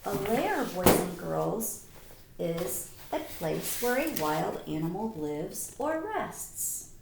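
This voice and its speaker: A woman reading a picture book aloud to children like a teacher, expressive and deliberate, pausing to explain. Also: on the low side, vocal fry, background noise. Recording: roomy echo.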